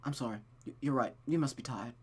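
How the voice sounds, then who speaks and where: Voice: medium pitch, fast, darkish tone, slightly rough, close to an everyday voice. Reader doing a awkwardly charming young lord, earnest n sheepish, visual novel romance guy.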